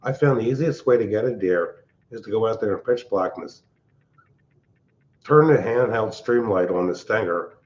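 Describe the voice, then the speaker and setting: An older man chats on a late-night livestream, his voice loud and pitched on the low side. He talks in a blunt, rambling, irritable way, speaking from a big open-plan house. The audio is dull and lo-fi. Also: lively intonation, normal speed. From Pennsylvania. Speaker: livestream host. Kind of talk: casual livestream chat.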